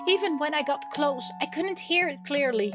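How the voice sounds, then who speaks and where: Woman doing a high-voiced, worried young maid. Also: expressive, normal speed. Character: young female maid, worried clumsy airheaded roommate. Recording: music playing behind the voice.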